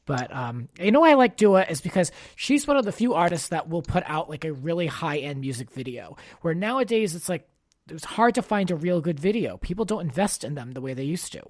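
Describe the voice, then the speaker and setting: A man's voice: a little high, sing-song, a little fast, no room sound, studio quality. A man hosting a podcast, chatting casually and giving his opinions freely with lots of filler words. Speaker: podcast host. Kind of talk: casual pop culture chat.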